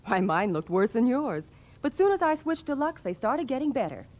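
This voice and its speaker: A woman's voice: on the high side, big pitch swings, moderate pace, a little mellow. A woman acting a cheerful, helpful housewife in a 1940s radio commercial. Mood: bright, helpful. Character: cheerful, helpful housewife praising her soap.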